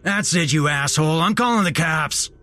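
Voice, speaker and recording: A man's voice: fairly high pitch, moderate pace, sharp tone, faint music behind, pitched above the performer's own voice. A man voicing an overweight, hot-tempered, foul-mouthed store manager who barks angrily in a story. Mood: enraged, demanding. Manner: angry barking.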